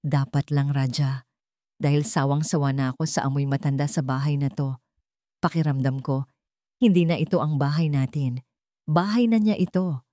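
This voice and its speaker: A woman's voice: low voice, moderate pace, pauses often, mellow, dry recording, clean studio sound, lightly acted. A woman voicing a scornful, complaining daughter-in-law with a cutting tongue, in an audio story.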